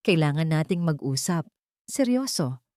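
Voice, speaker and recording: A woman's voice: low voice, very animated, unhurried, dark tone, no room sound, studio quality. Lady doing a troubled filipino rich dad, stern but unsure, short resigned lines, audiobook drama. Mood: serious. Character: troubled, self-doubting wealthy father and boss, stern but yielding. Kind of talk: audiobook character dialogue.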